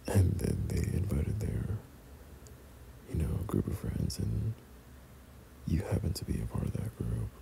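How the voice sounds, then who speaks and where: A young man doing intimate boyfriend roleplay ASMR in a breathy, often whispered voice, speaking tenderly and hesitantly. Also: rumbling low, sing-song, measured pace, long pauses, background noise. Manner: tender, hesitant, softly chuckling. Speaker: boyfriend ASMR audio performer.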